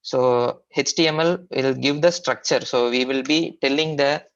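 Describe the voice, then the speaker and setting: A man with an Indian accent giving an informal technical lecture as a software trainer, explaining haltingly with repetition and frequent hesitations. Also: mid-range voice, steady tone, gravelly. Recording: dull, lo-fi online training audio.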